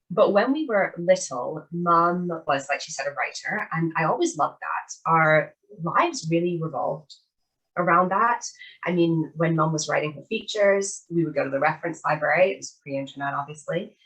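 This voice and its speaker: A woman in her thirties from Canada talks about her background as an interview guest. Her voice is notably low for a woman, and she tells her story steadily and earnestly. She is heard over a video call. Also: normal speed, a bit bright, poor audio. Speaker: guest author. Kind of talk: casual interview chat.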